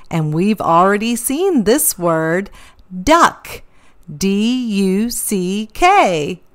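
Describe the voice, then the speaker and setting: A middle-aged woman hosting a read-aloud for young children, speaking in an animated, carefully enunciated teaching style. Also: mid-range voice. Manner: animated, slow, careful enunciation, sounding out and spelling words. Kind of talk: storybook reading and interactive teaching for young children.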